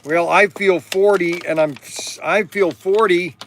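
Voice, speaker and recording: A man in his sixties hosting a casual, rambling livestream, halting and often repeating himself as he stumbles over words. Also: high-pitched, steady tone, slight background noise. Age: 60s. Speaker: livestream host selling hobby toys and model cars.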